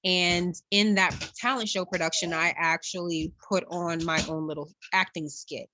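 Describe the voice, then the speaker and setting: A woman's voice: on the low side, fairly even intonation, normal speed, pushed and bright, slight background noise. A woman being interviewed as an actress, casually telling personal stories.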